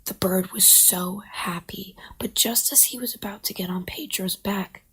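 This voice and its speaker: A woman telling a playful, dramatic story in a breathy whisper. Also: mid-range voice, normal speed, poor audio.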